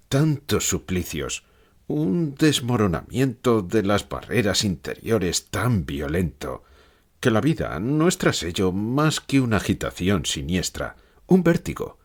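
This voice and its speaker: A man playing a dead Romanian philosopher who gives slow, solemn and dryly weary answers in a dramatized interview. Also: low voice, husky, exaggerated voice.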